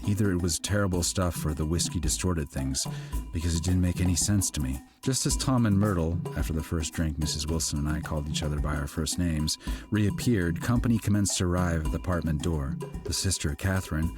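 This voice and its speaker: A man's voice: low voice, fairly quick. A man reading literary fiction aloud as an audiobook narrator, in a scripted storytelling style. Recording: music behind the voice. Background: background music.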